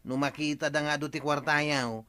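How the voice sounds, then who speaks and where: A man's voice: a little high, level tone, fairly quick, sharp tone. A man with an Ilocano accent playing a village man who lectures others about money, in an Ilocano radio comedy drama.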